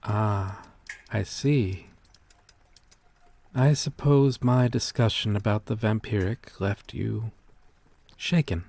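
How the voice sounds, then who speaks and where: A man performs a vampire-like gentleman monster in an intimate ASMR drama. His voice sits on the low side and moves very slowly. He is courteous and protective, with old-fashioned, formal phrasing and a melancholy gentleness. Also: gravelly, full character voice. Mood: hesitant, wistful. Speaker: roleplay voice performer. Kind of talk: ASMR roleplay acting.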